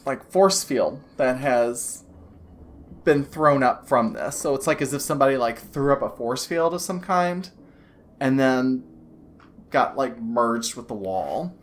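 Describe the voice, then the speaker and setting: A woman's voice: a bass voice, lively intonation, measured pace. A woman narrating as game master of a tabletop game, describing scenes in a rambling way full of restarts and fillers.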